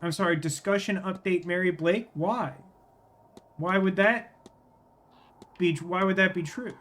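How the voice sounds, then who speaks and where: A man doing live game commentary, halting and rephrasing, with a wryly exasperated manner. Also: high-pitched, fairly bright tone. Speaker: game streamer. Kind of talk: live game commentary mixed with reading dialogue aloud.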